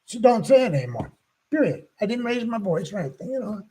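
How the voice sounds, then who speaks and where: A man in his sixties hosting a casual chat, telling stories with halting restarts. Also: sits high, sing-song, dark tone, slightly rough. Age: 60s. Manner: rambling storyteller, halting restarts. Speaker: show host.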